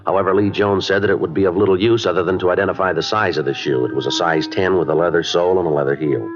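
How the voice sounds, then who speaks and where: An actor playing a terse, businesslike police detective in a 1950s radio drama, speaking in a deadpan, clipped, matter-of-fact way. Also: male voice, deep voice, lightly acted. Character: terse, businesslike male police detective, narrating his cases. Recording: dull old radio recording.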